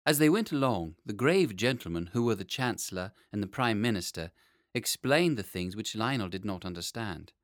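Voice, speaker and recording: Animated male storybook narrator. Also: on the low side, moderate pace, no room sound, clean studio sound. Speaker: audiobook reader.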